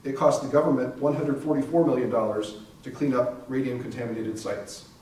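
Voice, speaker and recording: Male stage narrator, scripted factual reading, mid pitch, picked up at a distance. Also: steady tone, fairly quick, a little mellow. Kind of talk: scripted narration in readers theater. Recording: distant mic, very echoey room, faint noise.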